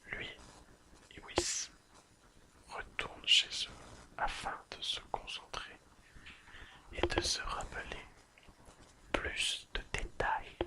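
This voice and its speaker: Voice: slow pace, long pauses, low-quality recording. A person whispering an ASMR episode recap in a careful reading manner. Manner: careful reading, apologises and corrects slips. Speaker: ASMR video creator.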